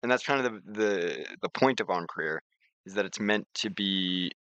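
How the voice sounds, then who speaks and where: A man presenting an informal software tutorial, rambling a little, repeating phrases and thinking aloud. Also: sits low, normal speed, dry recording, highs cut off. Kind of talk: informal screen-share software demonstration.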